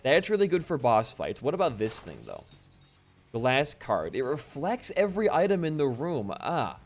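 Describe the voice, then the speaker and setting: A laid-back young man from Wisconsin, a bit hoarse after losing his voice, rambling casually while commenting on his gameplay. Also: medium pitch, huge pitch swings, normal speed. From Wisconsin, USA. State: slightly hoarse from voice loss. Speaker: gaming channel host. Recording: faint game music behind.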